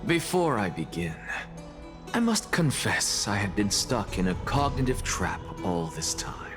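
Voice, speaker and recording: Slow, pompous, low-voiced scholar. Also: male voice, big pitch swings, music in the background. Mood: measured, lecturing. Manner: pompous, deliberate, lecturing. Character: proud male scholar professor, coolly theatrical and dying. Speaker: video game character. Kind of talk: dramatic scripted game dialogue.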